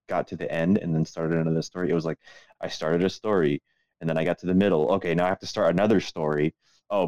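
A man's voice: deep voice, a little mellow, no echo. A man chatting casually as a podcast host, swearing freely and giving opinions with plenty of hedging. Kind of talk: casual chat, profane.

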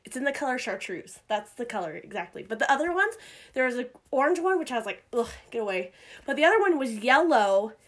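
A woman hosting a podcast in casual chat, rambling with lots of fillers, her voice a little hoarse from a cough. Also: a little high, big pitch swings, quick pace, a bit bright. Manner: chatty, rambling with many fillers and restarts. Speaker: podcast host.

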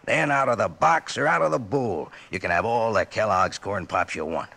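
A man with an American accent doing a folksy, jokey 1950s radio cereal commercial with drawn-out emphasis. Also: lowish voice, vocal fry, bright, forceful tone. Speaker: commercial pitchman. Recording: vintage radio recording.

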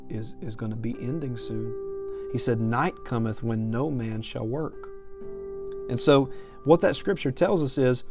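A man's voice: mid-range voice, a little mellow. A man in his thirties giving a conversational but emphatic podcast sermon, repeating phrases as he builds his point. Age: 30s. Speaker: preacher and podcast host.

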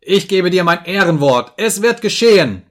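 An enraged, proud master, voiced by a male narrator, bellowing orders and repeating himself in fury. His voice is pushed up high and loud, overwrought and close to frantic, in a dramatic audiobook story. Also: sing-song, moderate pace. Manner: shouting in commands, repeating himself furiously.

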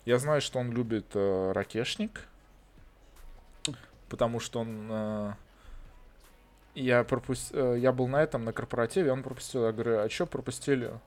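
Russian-born guy ranting casually, swears a ton, gets animated. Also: mid-range voice, moderate pace, long pauses. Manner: swears a lot, animated and blunt. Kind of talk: casual chat. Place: lives in Israel.

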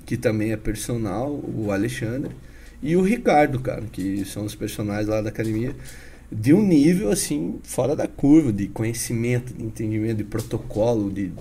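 This is a chatty man in his thirties with a dark, mellow tone and a Paraná interior Brazilian Portuguese accent. He tells stories as a podcast guest with an animated, informal delivery, full of "cara" and "né" tags. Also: medium pitch, moderate pace, a little hiss or hum. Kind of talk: casual storytelling interview.

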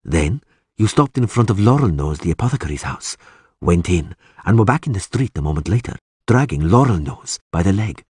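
A man's voice: low voice, sing-song, darkish tone, breathy edge, no echo, exaggerated voice. A man voicing a sardonic elf prisoner in a fantasy audiobook, precise and dryly sarcastic. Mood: quiet, dry. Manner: dry sarcasm, measured, precise wording. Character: sardonic male elf prisoner, sneering, coolly articulate.

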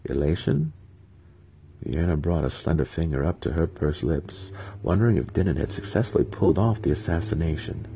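A man giving a dramatic scripted narration of a fantasy audiobook in a husky voice. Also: a bass voice, very animated, normal speed, dark tone, a little hiss or hum.

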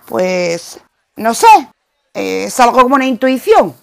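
Radionovela role, female actor: shrewd, protective immigrant wife, high-pitched, brisk and plain-spoken. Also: lively intonation, vocal fry. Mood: wary, placating. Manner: brisk, colloquial. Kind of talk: radionovela acting.

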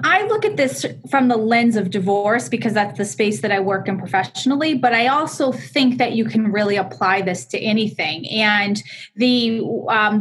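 A woman's voice: a little high, a bit bright, husky, low-quality recording. A woman with a Boston accent, a divorce lawyer explaining her views as a podcast guest, with frequent "um"s. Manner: explanatory, uses fillers like um. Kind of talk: conversational interview answers.

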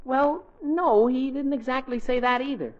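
A man's voice: very high-pitched, moderate pace. An actor playing an eager, polite, good-natured teenage boy in a folksy 1940s radio comedy, speaking earnestly. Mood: protesting. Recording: noisy, muffled 1940s radio broadcast.

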